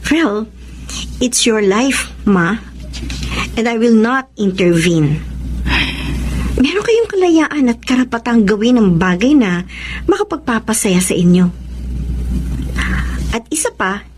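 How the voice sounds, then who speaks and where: High-voiced, supportive grown daughter. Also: female voice, sing-song, takes it slowly, pauses often, a little mellow, slight background noise. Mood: accepting. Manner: switches between Tagalog and English. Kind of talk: Filipino radio drama acting.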